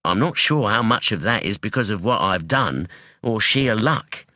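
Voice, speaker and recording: A man's voice: fairly low pitch, expressive, moderate pace, bright, forceful tone, no room sound, studio quality. A man voicing a guarded middle-aged father who answers tersely and evasively, in an audiobook. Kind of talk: audiobook dialogue.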